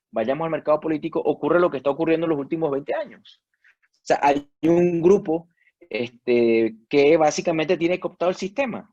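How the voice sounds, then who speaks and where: A man's voice: medium pitch, darkish tone, dry recording, highs cut off. Venezuelan man musing, many fillers. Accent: Venezuelan Spanish. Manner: thoughtful, hesitant, many fillers and restarts. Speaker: panel guest. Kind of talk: reflective panel discussion.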